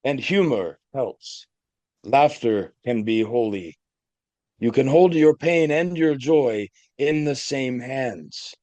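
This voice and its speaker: Man playing a mellow, unhurried priest. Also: medium pitch, lively intonation, no room sound. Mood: reassuring. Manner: measured, soothing, pastoral. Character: gentle, patient male priest giving counsel. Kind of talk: community theatre audio play acting.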